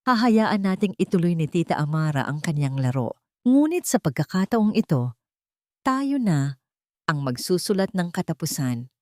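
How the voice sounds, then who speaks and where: A woman's voice: lowish voice, big pitch swings, normal speed, dark tone, dry recording, clean studio sound. A woman playing a determined young granddaughter in an audio story, earnest, firm and protective. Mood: firm resolve.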